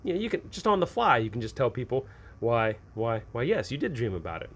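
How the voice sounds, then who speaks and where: A man's voice: mid-range voice, big pitch swings, quick pace, fairly bright tone. A man hosting an advice show for game masters, talking casually and unscripted, often posing questions and answering them himself.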